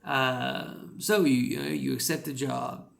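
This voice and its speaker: A man's voice: medium pitch, steady tone, a little slow, gravelly, slight background noise. A man with a rural American accent giving casual, rambling advice as an English teacher, with lots of "you know" fillers.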